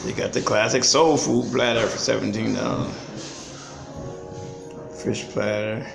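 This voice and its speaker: A man's voice: medium pitch, steady tone, a little slow, gravelly. An older man, chatting casually and upbeat into his phone while filming a vlog in a small restaurant. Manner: chatty, upbeat, plain-spoken. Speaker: vlogger. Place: small restaurant indoors, then street. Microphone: phone camera held at arm's length. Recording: roomy restaurant with music behind.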